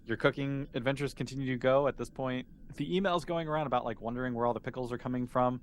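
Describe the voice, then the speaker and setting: A man's voice: mid-range voice, fast talker. A man with a mid-range voice runs a tabletop roleplaying session. He narrates conversationally and with amusement, making it up as he goes. Some noise sits behind his voice. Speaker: game master. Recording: noticeable background noise.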